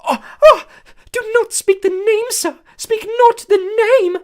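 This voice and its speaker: A woman voicing a tiny, servile male house elf with a high-pitched squeak, groveling and tearful in an audiobook reading. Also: expressive, normal speed. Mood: wailing. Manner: groveling, wailing, overly formal.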